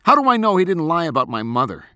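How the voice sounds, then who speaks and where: A man's voice: medium pitch, very animated, moderate pace, pushed and bright, no room sound, studio quality, pitched above the performer's own voice. A man voicing a bitter, stubborn teenage boy in an audiobook, petulant and aggrieved. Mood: bitter rage. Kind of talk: audiobook character acting.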